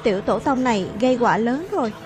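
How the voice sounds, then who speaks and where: A woman reading all the characters' dialogue in a dub of a children's animated fantasy. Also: fairly high pitch, fast. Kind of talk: dubbed narration of a children's animated fantasy, voicing every character. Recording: music playing behind the voice. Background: music.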